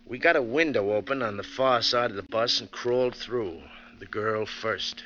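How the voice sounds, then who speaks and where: An actor playing a tough, wry bus driver who narrates a thriller in colloquial old-time radio style. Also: male voice, lowish voice, normal speed, sharp tone, highs cut off. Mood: tense recounting. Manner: colloquial, hard-boiled storytelling. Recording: thin old radio recording, music behind.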